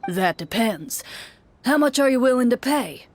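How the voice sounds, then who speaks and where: A woman's voice: fairly high pitch, lively intonation, moderate pace, slight background noise, natural voice. A woman putting on a curt, scornful male merchant. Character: gruff, greedy, cynical male city merchant. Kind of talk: video game character acting.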